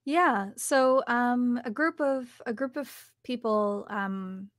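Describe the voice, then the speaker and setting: A woman's voice: a little high, slow pace, no echo. A young adult woman answering questions in a conversational interview, recorded close up at home. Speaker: actor and voice artist being interviewed. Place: home recording studio, indoors.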